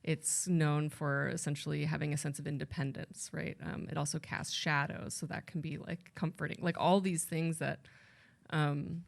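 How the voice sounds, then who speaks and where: A woman's voice: sits low, flat delivery, moderate pace, vocal fry. A woman translator speaking on a panel at a library event, thoughtful and rambling, often correcting herself mid-sentence. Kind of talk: casual panel talk.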